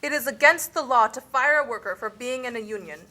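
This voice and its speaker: Woman playing a bright, high-voiced puppet official. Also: a little fast. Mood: matter-of-fact. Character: formal, matter-of-fact puppet official explaining rules. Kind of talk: scripted amateur puppet show delivery.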